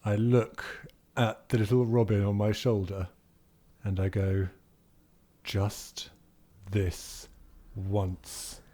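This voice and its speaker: Low-voiced man playing a tabletop game. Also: steady tone, unhurried, warm tone. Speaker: roleplaying podcast player. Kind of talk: casual improvised narration of his character.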